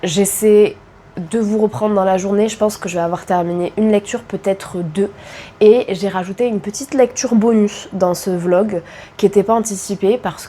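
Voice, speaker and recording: A woman's voice: medium pitch, dark tone, a little hiss or hum. A young woman with a cold, chatting casually to camera about her reading, with self-deprecating asides. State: congested with a cold. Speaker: book vlogger. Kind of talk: casual vlog chat.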